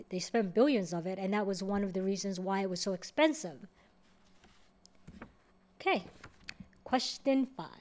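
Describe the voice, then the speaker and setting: A woman from Somalia, hosting a video and answering viewer questions in a measured, step-by-step way. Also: medium pitch. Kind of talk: explanatory talk to camera.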